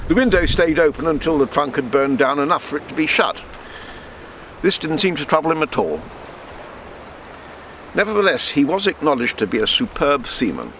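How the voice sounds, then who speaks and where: A man reading his own written story aloud in a measured storytelling manner, with small stumbles and self-corrections. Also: fairly high pitch, big pitch swings, a bit bright, a little hiss or hum, rough recording.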